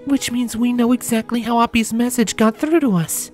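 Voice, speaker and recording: A man voicing a sceptical, brisk female scientist in charge, with a dry, commanding manner, in a story reading. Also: very high-pitched, fairly even intonation, a little mellow, faint music behind, voice pushed up. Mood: dawning excitement.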